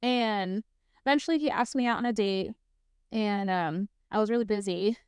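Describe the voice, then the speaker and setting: A woman's voice: medium pitch, normal speed, clear, resonant tone, dry recording, clean studio sound. A woman around thirty hosting her own podcast, chatting casually and telling stories with lots of "like" and "um" and easy laughs. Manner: chatty, fills speech with "like" and "um"; laughs easily while talking. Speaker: podcast host. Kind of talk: casual solo storytelling.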